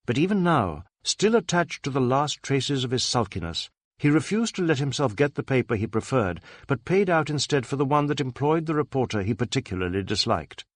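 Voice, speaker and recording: A male narrator reads detective fiction in a scripted, dramatic storytelling style. His pitch is mid-range and moves expressively, and the sound is dry and close. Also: big pitch swings, fairly quick, no echo. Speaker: audiobook narrator.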